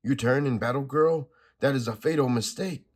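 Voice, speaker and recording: A man's voice: medium pitch, normal speed, pitched above the performer's own voice, close to an everyday voice. A man reading a comic aloud, voicing a cold, menacing cosmic villain with pompous, archaic threats.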